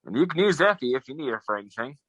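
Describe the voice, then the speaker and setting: A self-important, bumbling agent acted by a man for an old-time radio comedy. He blusters breezily at a middle pitch, full of pompous confidence. The sound is dry. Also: very animated, very fast, fairly bright tone, slightly rough, dry recording, highs cut off. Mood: breezy.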